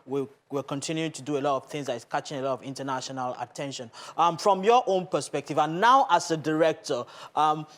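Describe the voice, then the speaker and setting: Higher-voiced male interviewer. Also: fairly quick, few pauses, a bit bright. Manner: hesitant, many ums, polite. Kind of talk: broadcast interview.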